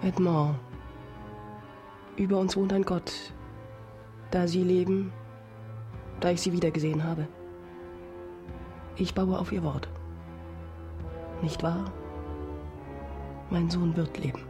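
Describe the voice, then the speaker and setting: A woman's voice: on the low side, flat delivery, takes it slowly, pauses often, warm tone, faint music behind. An actress in a German radio drama playing an anguished noblewoman, earnestly imploring as a desperate mother to a man she once loved. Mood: fervent hope.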